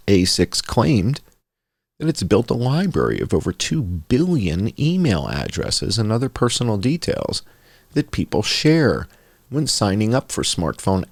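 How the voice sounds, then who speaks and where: Male narrator for long-form article reading: low register, very dry close sound, deliberate and emphatic phrasing. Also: creaky, dry recording. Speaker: host reading an article aloud. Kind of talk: scripted narration, read-aloud journalism.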